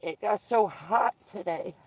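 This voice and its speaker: A livestreamer chatting casually with viewers, stuffed up and losing their voice, who keeps clearing their throat. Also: medium pitch, a little mellow, a little hiss or hum. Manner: frequent throat clearing, unhurried, rambling. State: congested and losing voice. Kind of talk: casual live stream chat with viewers.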